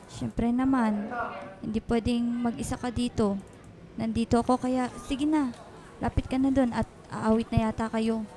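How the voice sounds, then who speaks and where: A woman playing a gentle, caring older nanny in a radio drama, soothing and patient. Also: on the high side, fairly even intonation, moderate pace, slight background noise, close to an everyday voice. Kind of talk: Filipino radio drama acting.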